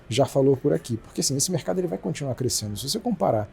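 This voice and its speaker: Brief: Brazilian male exec guest, rapid-fire delivery, warm mellow tone, faint hiss behind. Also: medium pitch, creaky. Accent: Brazilian Portuguese. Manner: fast, animated explaining with many restarts. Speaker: company president, guest. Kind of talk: casual business interview.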